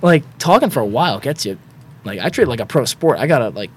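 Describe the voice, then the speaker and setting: A young man with a slightly raspy voice, talking in a quick, rambling way as a podcast guest. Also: mid-range voice, big pitch swings. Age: young adult. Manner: quick, rambling, stacks filler words. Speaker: guest, student sports broadcaster. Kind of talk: casual podcast chat.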